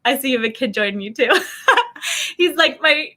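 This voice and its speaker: A middle-aged woman telling casual stories in an animated, chatty way, acting out what people said. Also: sits high, normal speed. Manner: animated, chatty, acts out quoted remarks. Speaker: guest sharing family travel experiences. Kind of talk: casual conversational storytelling.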